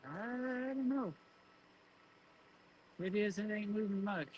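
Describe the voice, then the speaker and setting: A man's voice: high-pitched, lively intonation, measured pace, darkish tone, a little hiss or hum, rough recording. A man chatting casually in a laconic, matter-of-fact way. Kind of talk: casual chat.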